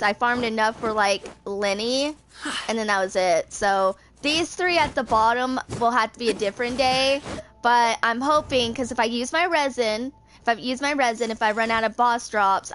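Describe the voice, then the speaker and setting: A woman in her twenties with a fairly high voice talks through her gameplay. She rambles casually and thinks aloud, as a streamer does while sorting out what to do next. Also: fairly bright tone, a little hiss or hum. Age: 20s. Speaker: video game streamer.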